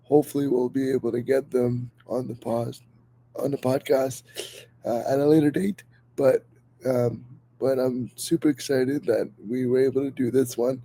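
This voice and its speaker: A man's voice: mid-range voice, flat delivery, normal speed, warm tone, rough recording. A man hosting a podcast in an earnest, enthusiastic way, his voice a bit worn from being slightly sick. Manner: earnest, enthusiastic, many fillers and restarts. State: a little sick, voice under the weather. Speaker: podcast host. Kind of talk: casual podcast talk.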